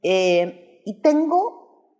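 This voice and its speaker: A woman in her fifties from Chile with a Chilean accent, explaining her views as a guest in a measured way. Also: mid-range voice, very animated, dark tone. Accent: Chilean Spanish. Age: 50s. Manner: measured, explanatory, tagging points with "¿verdad?". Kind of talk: political discussion.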